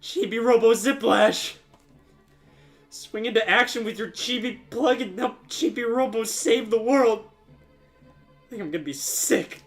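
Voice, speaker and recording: A man's voice: extremely high pitch, measured pace, long pauses, a bit bright, soft background music. A man performing a scripted comedic game review, with an exasperated, sarcastic way of complaining.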